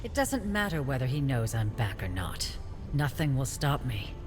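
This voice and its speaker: An actor playing a stern, driven warrior in a game cutscene, blunt and resolute. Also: male voice, medium pitch, big pitch swings, moderate pace, natural voice. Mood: determined. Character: stern, driven warrior with a vengeful sense of destiny. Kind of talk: dramatic game cutscene acting. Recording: long hall reverb, music behind.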